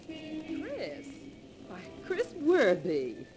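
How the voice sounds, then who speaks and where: An actor playing an earnest, folksy small-town acquaintance in a 1940s radio acting style. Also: male voice, extremely high pitch, wildly swooping pitch, extremely slow, darkish tone, faint music behind, heavily acted. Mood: friendly. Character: friendly small-town male acquaintance bearing grim news. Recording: noisy vintage 1940s radio recording.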